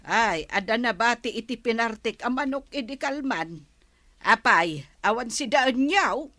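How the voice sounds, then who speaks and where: A woman's voice: fairly high pitch, expressive, measured pace, bright, forceful tone, dry recording. A woman acting as a weary elderly mother on a pension, nagging and plaintive, in an Ilocano comedy drama, with a Filipino accent. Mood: fussing. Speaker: actress in an audio comedy drama.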